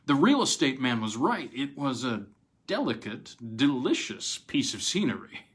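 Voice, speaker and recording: A man narrates an old piece of short fiction in a dramatic storytelling style, phrasing deliberately with pauses. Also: medium pitch, very animated, husky.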